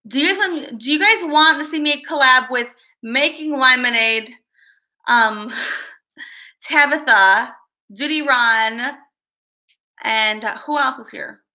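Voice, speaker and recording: Chatty high-voiced woman on a livestream. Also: slow, long pauses, pushed and bright. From Chicago, USA. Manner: rambling, chatty, reads viewer names aloud. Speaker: livestream host. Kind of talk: casual livestream chat.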